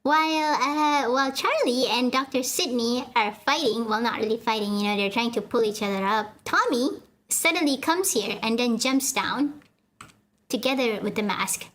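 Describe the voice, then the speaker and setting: A woman's voice: on the high side, moderate pace, a bit bright. A woman streamer reading a comic aloud in chatty, giggly commentary to her chat. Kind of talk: casual readalong commentary.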